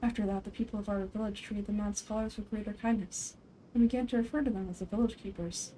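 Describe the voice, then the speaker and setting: A woman plays a solemn villager from a fantasy game, recounting an old local legend. The telling is measured storytelling over music. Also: medium pitch, fairly even intonation, mellow, slight background noise, lightly acted, poor audio.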